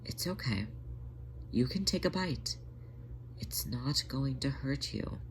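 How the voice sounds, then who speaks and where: A narrator voicing a motherly female cat, gentle and reassuring, coaxing softly in an audio story. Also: mid-range voice, lively intonation, slow, a little hiss or hum, rough recording. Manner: soft, soothing, coaxing.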